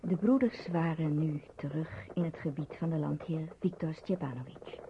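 Woman narrator reading a radio play story, lowish voice, dull noisy old recording. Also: moderate pace.

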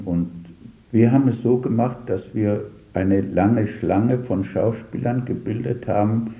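An older man reflecting on his work as a radio drama director in an interview, pausing and searching for words as he recounts anecdotes. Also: lowish voice, warm tone, echoey room, highs cut off. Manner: halting, searching for words, pausing mid-sentence.

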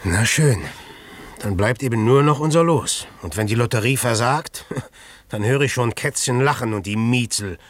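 An actor playing a scheming, secretive village innkeeper in debt, in a German radio drama, with an insistent, calculating manner. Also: male voice, medium pitch, normal speed, no echo, lightly acted. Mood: coldly insistent. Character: scheming, debt-ridden village innkeeper coldly plotting a crime.

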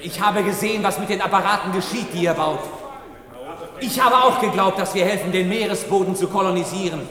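An actor playing a desperate, urgent fugitive husband and whistleblower in a German radio play. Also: male voice, high voice, a little fast, reverberant, long reverb tail, crowd noise, lightly acted. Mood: impassioned. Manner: urgent, dramatic.

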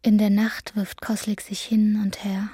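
A woman's voice: mid-range voice, level tone, measured pace, mellow, breathy edge, dry recording, studio quality. A woman narrating a literary radio drama from a script.

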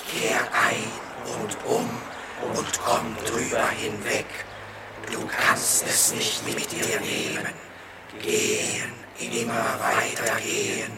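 An actor in a German radio play voicing an uncanny being that chants urgent slogans and warnings, insistent and declamatory. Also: male voice, lowish voice, heavily acted. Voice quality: raspy, sharp, forceful.

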